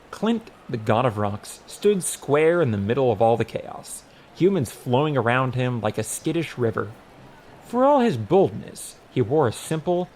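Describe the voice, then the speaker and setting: Male storyteller for comic fantasy audiobook, mid-pitched, highly varied intonation, wry delivery. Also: a little mellow, a little hiss or hum, poor audio. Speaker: audiobook narrator. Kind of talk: scripted story narration.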